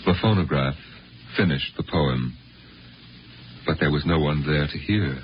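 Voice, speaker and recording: A man's voice: extremely low pitch, measured pace, a little hiss or hum. A man narrating a scripted 1950s radio drama in a dramatic, literary storytelling manner. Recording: muffled old radio broadcast, loud music.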